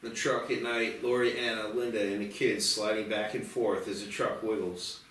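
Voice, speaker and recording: A man's voice: on the low side, little pitch movement, moderate pace, a bit bright, recorded from a distance, a little hiss or hum. A man reading scripted action and stage directions as narrator at a screenplay table read. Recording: roomy, off-mic, music behind.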